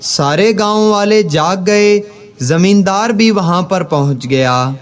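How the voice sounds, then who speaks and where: High-pitched, slow male children's story narrator.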